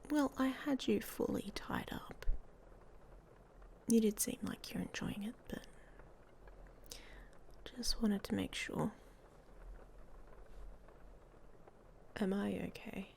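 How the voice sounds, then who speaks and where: A woman's voice: medium pitch, slow pace, long pauses, darkish tone. A woman playing a sardonic, guarded werewolf lover in an intimate ASMR romance roleplay, whispering close to the microphone in a dry, hesitant way. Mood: guarded, tender. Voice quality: breathy whisper with creaky edges.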